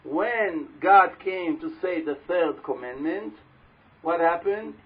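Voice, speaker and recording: A man with a loud voice giving a religious talk to a live audience, telling a story and putting questions to the listeners. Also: a little high, expressive, slow pace, breathy edge. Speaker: religious teacher speaking to an audience. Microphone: handheld or stand microphone. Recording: dull lo-fi live recording.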